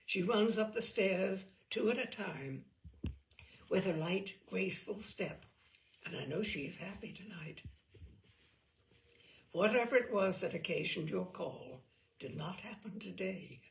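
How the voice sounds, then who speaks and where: Weary, regretful mother performed by a woman for literary storytelling: deliberate, intense delivery, unhurried, with heavy vocal fry. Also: mid-range voice, animated, a little hiss or hum. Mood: intense, proud. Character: weary, regretful working mother confiding about her daughter. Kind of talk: dramatic first-person short story reading.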